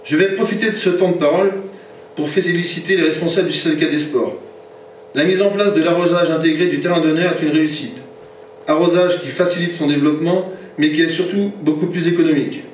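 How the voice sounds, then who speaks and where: French club president, formal read-out speech, muffled, distant recording. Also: male voice, a little high, steady tone, a little fast, slight background noise. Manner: formal, reads from notes, stumbles and corrects himself. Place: reception hall. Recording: very echoey hall from far off, dull sound.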